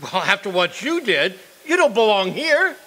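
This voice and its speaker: An actor playing a flustered, indignant executioner with a stammering manner in a radio play. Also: male voice, sits high, sing-song, normal speed, bright, forceful tone, full character voice, rough recording. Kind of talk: stage radio drama acting.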